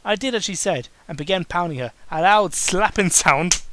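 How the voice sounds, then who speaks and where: A man's voice: high-pitched, normal speed, heavy background noise. A man doing a mocking dramatic reading, breaking into exasperated, sarcastic, sweary asides. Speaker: amateur reader and commentator.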